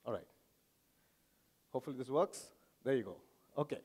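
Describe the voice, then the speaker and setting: A man's voice: medium pitch, lively intonation, normal speed, darkish tone, breathy edge. A man with an Indian accent giving an explanatory conference talk as a physician, addressing the audience informally with everyday examples. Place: hotel conference hall, speaking to an audience.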